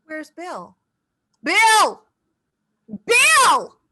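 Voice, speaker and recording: A woman acting a theatrical mother's ghost in a stage play, high and very animated. She declaims and teases fretfully, taking her time over the words. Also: heavily acted. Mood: calling out. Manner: declaiming, teasing, drawn-out.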